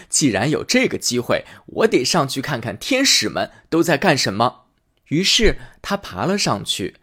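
A man hosting and reading a fairy tale aloud in an expressive storytelling manner. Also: medium pitch, slightly rough. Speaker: storyteller host.

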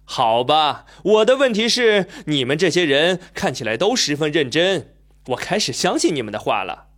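Man acting a high-voiced young male reporter. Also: big pitch swings, normal speed, fairly bright tone. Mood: polite, probing. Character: inquisitive young male newspaper reporter, polite but sceptical. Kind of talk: Chinese radio drama acting.

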